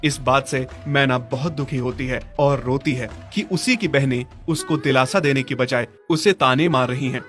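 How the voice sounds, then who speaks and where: A man's voice: mid-range voice, moderate pace, hardly any pauses, fairly bright tone. A man narrating a scripted story as its storyteller. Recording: music behind the voice.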